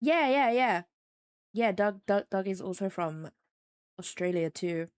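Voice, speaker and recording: A woman's voice: medium pitch, lively intonation, measured pace, a little mellow, clear, resonant tone, dry recording, clean studio sound. A young English woman with a faint Midlands accent, chatting casually with her live-stream chat while she draws, rambling and self-deprecating. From England.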